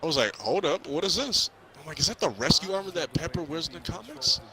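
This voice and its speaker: A man's voice: fairly high pitch, very animated, fast talker, bright, forceful tone. A young man chatting casually about a movie, stumbling and rambling through his stories in slangy speech. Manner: rambling, stammering storytelling with slang. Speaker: co-host of a movie review chat. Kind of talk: casual spoiler-filled movie chat.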